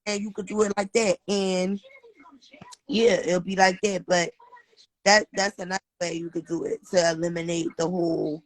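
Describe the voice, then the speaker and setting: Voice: medium pitch, level tone, normal speed, no room sound, rough recording. A host giving earnest, encouraging advice in a casual chat, repeating phrases for emphasis.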